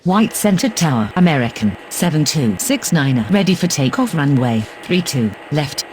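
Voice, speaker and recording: Man as higher-voiced, lively-pitched air traffic operator. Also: moderate pace. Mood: businesslike. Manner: formal, procedural. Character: businesslike male air traffic radio operator. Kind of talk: clipped, scripted radio phraseology.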